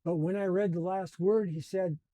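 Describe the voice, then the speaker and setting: A man in his seventies telling his life story in a slow, reflective and earnest way. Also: high voice, fairly even intonation, dark tone, no room sound, muffled recording. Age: 70s. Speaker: channel host. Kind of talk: personal storytelling.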